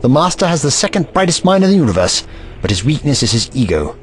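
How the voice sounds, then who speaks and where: A man's voice: mid-range voice, sing-song, fast talker, slight background noise, close to an everyday voice. A man voicing a haughty, eccentric time-traveling scientist in an audio drama, pompous and lecturing.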